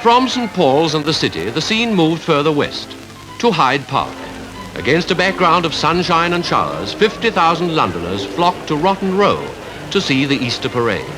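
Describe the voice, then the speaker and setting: A man with a British Received Pronunciation accent reading scripted 1950s newsreel narration in a formal, measured, rhetorical way. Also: on the high side, poor audio. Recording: 1951 archive newsreel with music behind.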